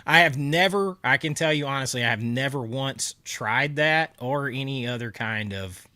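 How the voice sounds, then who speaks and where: A man's voice: mid-range voice, moderate pace, bright, forceful tone, no echo. Stuffy-nosed man chatting with viewers. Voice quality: nasal from congestion. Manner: chatty, laughs often, answers viewer comments. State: stuffy nose, sore throat. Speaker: livestream host. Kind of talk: casual live chat with viewers.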